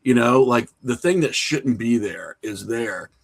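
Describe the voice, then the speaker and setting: A middle-aged man speaks as a guest in a relaxed interview. His voice sits at an average male pitch. He talks candidly, pokes fun at himself and swears freely. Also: a little slow. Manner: candid, self-mocking, profane. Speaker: podcast guest, horror filmmaker and writer. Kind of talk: casual interview chat.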